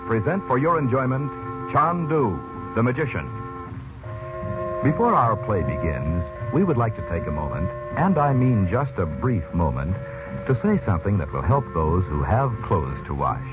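A man's voice: fairly low pitch, sing-song, moderate pace. A man working as a radio announcer and narrator, reading a script in a formal, theatrical vintage style. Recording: muffled old radio recording with music.

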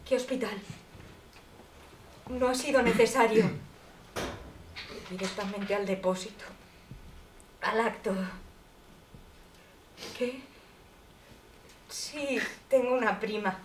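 An actress from playing a poor, lonely, half-mad old woman who pleads slowly on the phone, turning between bitterness and tears. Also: medium pitch, reverberant, far from the mic, a little hiss or hum, natural voice. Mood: quiet grief. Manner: slow, pleading, swinging between bitter and tearful. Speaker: actress in a monologue. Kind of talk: stage monologue.

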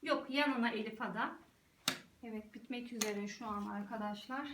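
High-voiced encouraging mother, distant and echoey. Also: normal speed. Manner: encouraging, short praising prompts. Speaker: mother guiding her young child and presenting to viewers. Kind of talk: casual play commentary and instructions to a child. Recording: roomy, distant mic, clear background noise.

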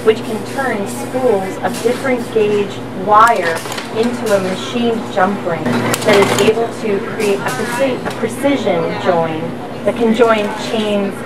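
A woman explaining things step by step as a factory tour guide. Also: medium pitch. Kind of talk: explanatory walk-through tour. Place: busy factory floor. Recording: factory noise, somewhat distant mic. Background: machinery and workshop noise.

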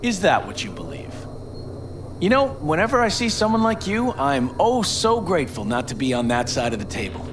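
An actor voicing a smug, menacing customs officer with a sardonic, deliberate manner in video game dialogue. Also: male voice, fairly high pitch, wildly swooping pitch, noisy background. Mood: mocking.

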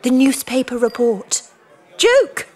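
Brief: sharp-witted flirt in a radio play; fairly high, swooping voice, mocking and clipped. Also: female voice, sing-song, takes it slowly, a faint crowd, exaggerated voice. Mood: teasing, provocative. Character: brazen, teasing, sharp-witted younger woman. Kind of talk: audio drama dialogue.

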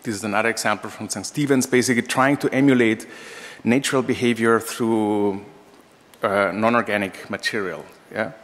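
A man in his forties with a lowish voice and an Austrian accent gives a lecture. He phrases things informally and a little hesitantly, with small asides. The long reverb of a lecture hall trails his words. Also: steady tone, moderate pace, fairly bright tone. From Austria, raised in Vienna. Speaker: architecture professor and practicing architect. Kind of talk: lecture to an audience. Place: large room, indoors, at a lectern. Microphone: gooseneck lectern microphone.

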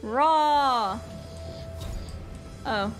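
A woman's voice: high-pitched, very slow, soft background music. A young woman with a Northern Irish accent, sick, doing flustered casual commentary over a game she is new to. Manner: flustered, exclaiming often. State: sick, voice a bit off. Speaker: gaming YouTuber. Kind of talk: casual let's-play commentary.

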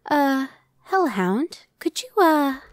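Casting: woman to play a laid-back, dry-witted she-demon for ASMR roleplay, voice a bit high. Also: very animated, slow pace, no echo, heavily acted. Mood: casual, resigned. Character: young female demon scout Evanescence, casual, dry-witted, inwardly brooding. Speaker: voice actor. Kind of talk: ASMR roleplay audio drama.

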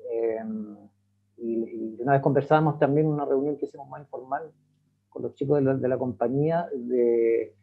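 A man with a Chilean accent, an actor speaking haltingly and reflectively in an online panel, searching for his words. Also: medium pitch, slightly rough. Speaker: actor in a theatre company. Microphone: video call. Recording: thin, phone-like video call audio.

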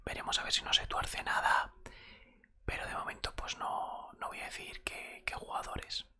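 A middle-aged man with an accent from Spain whispers softly and conversationally into a close microphone, playing a football coach in an ASMR roleplay. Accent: Spanish from Spain. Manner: soft, unhurried, conversational. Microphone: close desktop microphone.

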